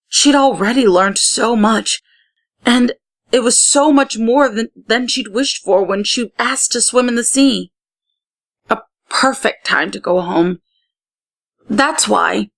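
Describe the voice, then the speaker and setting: Slow-paced woman reading a story aloud. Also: medium pitch, gravelly, a bit bright. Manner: slow, deliberate, with dramatic pauses. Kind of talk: expressive story read-aloud.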